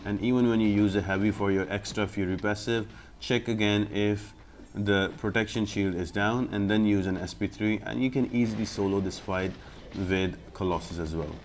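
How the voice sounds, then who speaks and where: A man's voice: on the low side, slight background noise. A man giving step-by-step gameplay walkthrough commentary as the host of a guide video, explaining as he plays.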